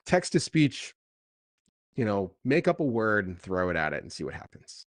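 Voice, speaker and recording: A man with a deep voice talks as a tech founder being interviewed. He explains things casually and haltingly, often repeating words as he goes, in a dry recording with very little room sound. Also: very animated, no echo. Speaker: tech founder as podcast guest.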